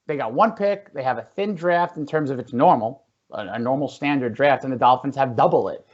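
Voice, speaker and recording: A man's voice: medium pitch, brisk. A man hosting a sports podcast in a chummy, joking, casual way, on dull lo-fi audio. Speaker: podcast host.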